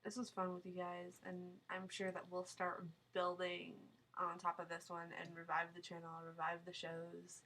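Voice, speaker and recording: A woman's voice: fairly low pitch, monotone, normal speed, few pauses, fairly bright tone, slight background noise, poor audio. A young woman with a nasal voice from a sinus cold, chatting casually while answering viewer questions on a live stream, rambling and laughing often. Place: home, small town.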